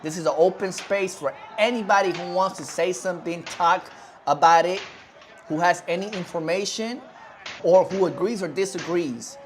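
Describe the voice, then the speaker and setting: A man hosting a casual livestream, his first language Spanish, with a voice sitting a bit high for a man. He rambles and keeps turning back to his chat with easy fillers. A faint hiss sits under him. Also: moderate pace. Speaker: livestream host.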